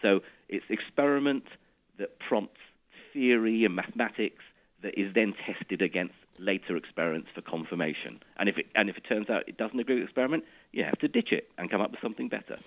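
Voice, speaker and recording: A man's voice: lowish voice, animated, a little fast, dull audio. A middle-aged man from Iraq, a physicist guest, explaining things in an interview and often restarting and repeating words.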